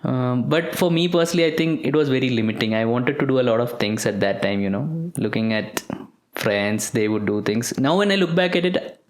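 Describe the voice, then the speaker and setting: A man's voice: medium pitch, expressive, fairly quick, hardly any pauses. A young man, chatting easily as a podcast guest with frequent laughs and fillers. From Kerala, India. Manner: easygoing, laughs often, lots of fillers. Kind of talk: casual interview chat.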